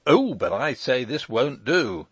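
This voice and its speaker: Guy reading as a brisk cheeky lawyer in a storybook, pitched up, super animated, emphatic. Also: no echo. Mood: scolding, emphatic. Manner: emphatic, eager, breezy. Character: brisk, teasing, exuberant gentleman lawyer nephew.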